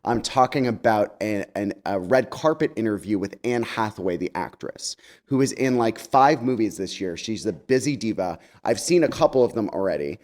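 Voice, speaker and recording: A man's voice: fairly low pitch, expressive, normal speed, darkish tone. A man giving a conversational message to a congregation as a guest speaker, chatty and wry, with campy slang.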